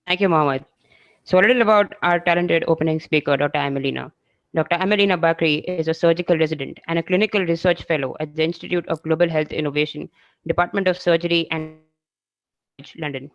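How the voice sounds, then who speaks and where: Smooth co-host reading an introduction, lo-fi. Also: medium pitch, fast talker, no room sound. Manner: formal, steady reading of a bio. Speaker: event co-host introducing the speaker. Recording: dull, dry lo-fi call audio.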